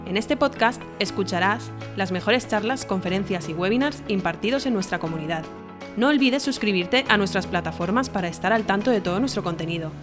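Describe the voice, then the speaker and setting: A woman reading a scripted podcast welcome in a smooth announcer manner. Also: mid-range voice, animated, brisk. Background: music bed.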